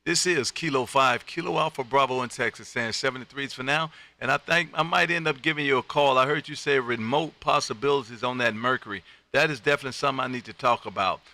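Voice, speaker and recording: American man, exuberant radio-show host, very sharp forceful tone, gravelly edge, showman patter, repeated phrases. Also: medium pitch, fast talker, few pauses. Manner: exuberant, loud personality, repeats phrases for emphasis. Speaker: amateur radio operator and livestream host. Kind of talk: lively on-air ham radio chat with showman patter. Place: home radio room.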